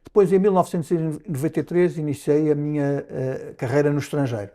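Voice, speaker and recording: Measured Lisbon-accented man in his sixties. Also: a little high, fairly even intonation, mellow. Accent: European Portuguese, Lisbon. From Portugal. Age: 60s. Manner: measured, with frequent hesitations and restarts. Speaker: ambassador, interview guest. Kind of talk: reflective interview answers.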